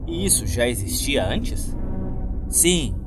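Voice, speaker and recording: A man's voice: mid-range voice, sing-song, slow pace. Casting: Brazilian male horror narrator, mid-range voice, scripted delivery over prominent music. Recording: loud music under the voice. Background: loud background music.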